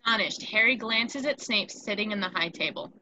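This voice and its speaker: Bright-voiced woman narrator. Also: mid-range voice, brisk, slight background noise, poor audio. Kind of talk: scripted narration of stage directions.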